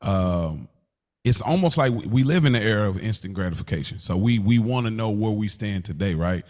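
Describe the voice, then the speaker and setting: A man's voice: fairly low pitch, animated, fairly quick, darkish tone. A man hosting a casual podcast, posing reflective questions in slangy, conversational language.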